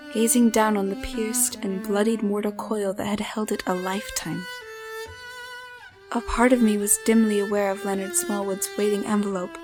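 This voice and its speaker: A woman's voice: mid-range voice, lightly acted. Woman playing solemn haunted narrator over strings. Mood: hushed awe. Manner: measured, literary, grave. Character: solemn, haunted young woman who sees souls. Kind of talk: dramatic first-person narration in audio drama. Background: cello and violin score.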